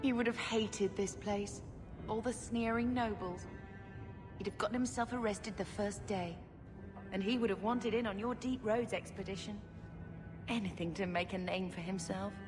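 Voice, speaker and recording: An actress playing an earnest, wistful young mage in a video game, speaking sincerely and reflectively. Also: female voice, mid-range voice, fairly even intonation, normal speed, music in the background, lightly acted.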